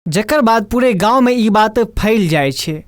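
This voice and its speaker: A woman's voice: mid-range voice, lively intonation, measured pace, dry recording, clean studio sound. A woman with a Maithili accent narrating a scripted folk story as the storyteller.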